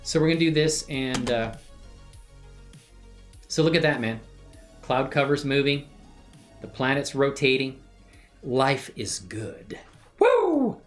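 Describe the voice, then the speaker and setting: A woman's voice: very deep voice, big pitch swings, takes it slowly, long pauses, faint music behind. A woman hosting a casual live animation tutorial, thinking aloud and chatting in an upbeat way with viewers she calls man and bro.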